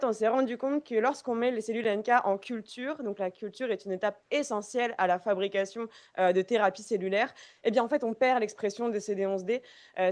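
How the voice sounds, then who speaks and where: Une jeune étudiante présente sa recherche en quelques minutes. Elle parle posément et marque souvent des hésitations. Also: female voice, a little high. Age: young adult. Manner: frequent fillers, measured delivery. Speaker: graduate student presenter. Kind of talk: short thesis presentation.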